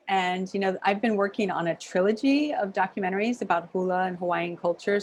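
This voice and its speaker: A woman's voice: fairly low pitch, a little mellow. A woman documentary filmmaker answering interview questions in a thoughtful way with many fillers.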